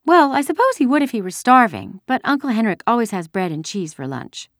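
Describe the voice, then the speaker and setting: A young storybook girl, acted out by a woman, pitched high with lively swoops. She chatters pertly and sounds bold and indignant, in a close, dry recording. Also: fairly quick, dry recording. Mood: chattering, giggly. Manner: silly chatter, pert and indignant. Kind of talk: audiobook character dialogue.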